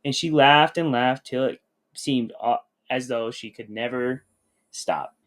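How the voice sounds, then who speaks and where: Guy reading a kids storybook out loud, normal mid pitched voice. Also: a little slow. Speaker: storybook reader. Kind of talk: scripted fairy tale read-aloud.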